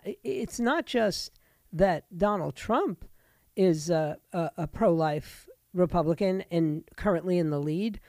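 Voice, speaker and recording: A woman's voice: medium pitch, darkish tone, no echo, studio quality. A woman hosting a talk radio show, giving an opinionated political monologue in a conversational way, with fillers and restarts.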